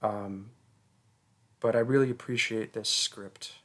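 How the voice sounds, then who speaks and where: A man's voice: lowish voice, steady tone, slow, fairly bright tone. A man, a voice actor, giving a casual, hesitant thank-you outro with many filler ums. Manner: hesitant, lots of ums, bashful laughs.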